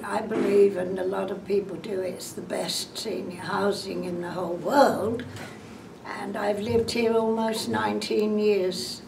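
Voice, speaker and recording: A woman's voice: medium pitch, creaky. An English woman in her eighties talking about her home in a chatty, meandering way. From England. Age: 80s. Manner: chatty, meandering, unsure of details. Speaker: senior housing resident. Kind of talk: interview testimony. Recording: echoey room, mic at a distance, background noise.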